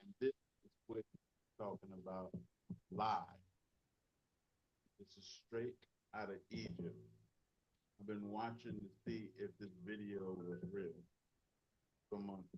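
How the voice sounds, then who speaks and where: Slow husky man in a lo-fi clip. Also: on the low side, fairly even intonation, a little mellow. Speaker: news video narrator. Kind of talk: online news commentary.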